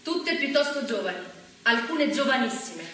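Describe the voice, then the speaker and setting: A woman's voice: a little high, expressive, normal speed, sharp tone. A woman declaiming proudly and indignantly, recorded from far off on a very echoey stage. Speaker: stage actress. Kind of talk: theatrical declamation.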